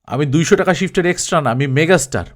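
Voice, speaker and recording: A man's voice: on the high side, fast, natural voice. A man voicing a drunk, furious, self-important male film star in an audiobook story, ranting and abusive. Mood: drunken rage. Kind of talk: audiobook character acting.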